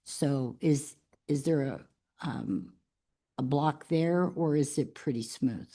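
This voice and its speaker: A woman on a legislative committee asking a witness a halting question. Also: low-pitched, warm tone. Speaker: committee member.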